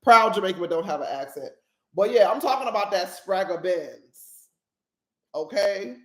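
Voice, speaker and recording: A woman's voice: mid-range voice, expressive, normal speed, fairly bright tone. Woman, podcast host, casual monologue, emphatic, playful, echoey room. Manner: emphatic, keeps checking in with listeners; playful, laughs at her own jokes.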